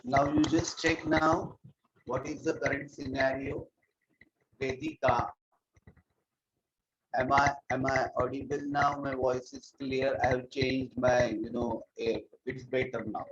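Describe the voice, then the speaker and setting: A man's voice: medium pitch, takes it slowly, long pauses, husky, highs cut off. A man with an Indian English accent teaching a geometry lesson in an online class, repeating key points and mixing in Hindi phrases. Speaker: math teacher. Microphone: earphones mic on a video call.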